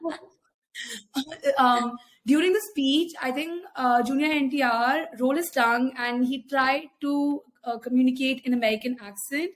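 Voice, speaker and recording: A woman's voice: high-pitched, moderate pace, rough recording. A young woman with an Indian accent, co-hosting a casual podcast, talking hesitantly with many fillers. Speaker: podcast co-host.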